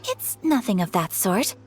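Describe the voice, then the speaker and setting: A woman voicing a polite, refined young noblewoman in a video game, formal and composed. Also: mid-range voice. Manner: formal, courteous, softly proper. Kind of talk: video game character acting.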